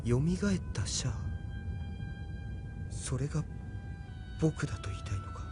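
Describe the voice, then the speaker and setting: A man's voice: mid-range voice, lively intonation, unhurried, over music, natural voice. A man voicing an earnest, doubting young man, a reluctant hero, in an audio drama. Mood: doubtful. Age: young adult. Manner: earnest, questioning. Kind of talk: Japanese audio drama acting.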